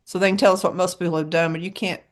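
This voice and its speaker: A woman's voice: on the low side, steady tone, racing. A woman hosting a casual podcast chat, talkative and laughing often. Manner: chatty, laughs often, trails off. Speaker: podcast host.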